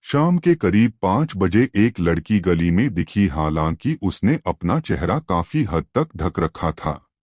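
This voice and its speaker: A man's voice: sits low, sing-song, measured pace, darkish tone, no room sound, studio quality. A man narrating a scripted suspense story as a storyteller.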